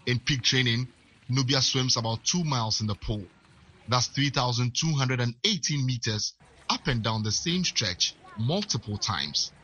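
Lively man reporting sport. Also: mid-range voice, moderate pace, slightly rough, lo-fi sound. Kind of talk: scripted news narration.

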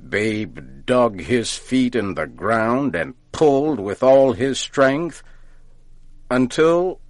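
A man narrating a folk tale from a script, deliberately and with careful enunciation, as if for learners. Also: on the low side, no echo. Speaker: storyteller, narrator.

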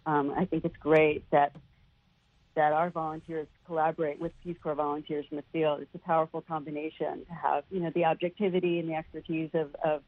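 A woman's voice: sits low, level tone, brisk, no echo. A woman giving earnest, emphatic answers as an interview guest over a band-limited phone line. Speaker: non-profit founder, interview guest. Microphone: telephone.

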